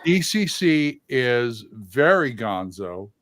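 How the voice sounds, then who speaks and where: A man hosting a livestream, chatting casually about tabletop games, opinionated and halting with sudden loud, excited bursts. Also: mid-range voice, dry recording, studio quality. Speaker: livestream host.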